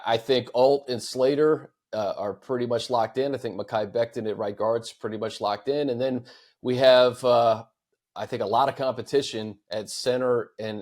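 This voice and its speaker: Mid-pitched male sports talk host. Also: darkish tone. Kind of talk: casual sports talk, reading fan questions.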